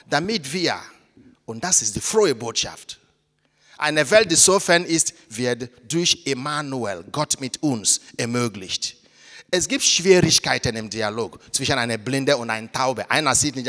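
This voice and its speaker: A middle-aged Nigerian man with an Igbo mother tongue, a priest speaking animatedly on a panel with a handheld mic in a large hall. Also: mid-range voice. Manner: animated, gesturing, switching into English phrases. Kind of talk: lively panel talk. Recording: reverberant hall.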